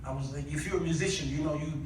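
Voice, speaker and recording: Guy preacher with a high voice, exhorting the congregation, super echoey and far from the mic. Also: background noise. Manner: exhorting, punctuating points with pauses and rhetorical questions. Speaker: guest preacher. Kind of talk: impassioned church sermon. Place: large echoey indoor church with congregation. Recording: echoey church, distant mic, congregation responding.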